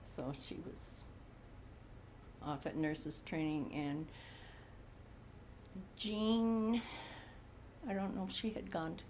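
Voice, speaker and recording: A woman in her eighties from Montana, recalling her childhood slowly in an oral history interview. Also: sits low, lively intonation, darkish tone, a little hiss or hum, poor audio. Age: 80s. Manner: slow, unhurried recollection with frequent pauses. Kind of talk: reminiscing in a recorded interview.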